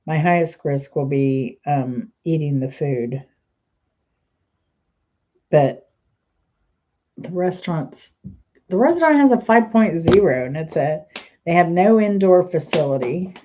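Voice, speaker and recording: Low-voiced, stuffy woman chatting slowly. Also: lively intonation, dark tone, lo-fi sound, slight background noise. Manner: chatty, rambling, trails off mid-sentence. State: stuffy, congested. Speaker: channel host. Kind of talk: casual rambling chat to camera.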